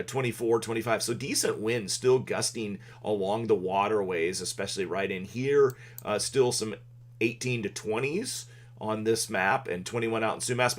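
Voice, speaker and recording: A man with a fairly low voice hosts a live weather stream from his desk. He talks loudly and casually, stopping often with fillers as he clicks through maps. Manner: loud, halting delivery with many fillers. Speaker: local weather livestream host. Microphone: desk microphone.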